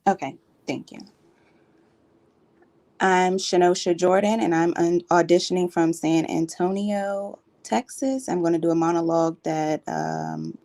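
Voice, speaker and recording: Nervous woman with a lowish voice. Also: warm tone. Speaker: auditioning actor. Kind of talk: casual chat.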